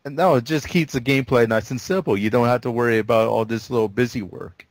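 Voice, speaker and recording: Casting note man, gravelly mid voice, eager game review chatter, dry mic. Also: medium pitch, quick pace, no echo. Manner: enthusiastic, self-deprecating asides. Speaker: co-host of a game review show. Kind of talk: casual gaming discussion.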